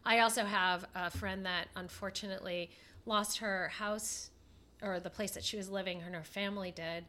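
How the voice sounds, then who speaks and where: Bright-voiced, nasal woman with a cold. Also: medium pitch, steady tone. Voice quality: a little nasal. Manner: chatty, with many fillers and pauses. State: stuffed up with a head cold. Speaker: podcast co-host. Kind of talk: casual podcast chat.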